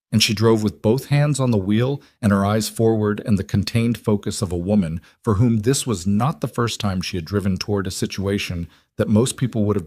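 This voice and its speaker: A man with a lowish voice narrates a suspense audiobook. The reading is scripted and dramatic, recorded dry and close with no room sound. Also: a little mellow, no echo.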